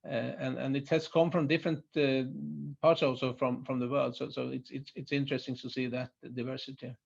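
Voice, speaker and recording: A man's voice: mid-range voice, little pitch movement, brisk, creaky. A man with a Swedish accent presenting his company's site in a webinar, hesitant, with many fillers and restarts. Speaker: site manager presenting a chemical plant. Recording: muffled lo-fi webinar audio.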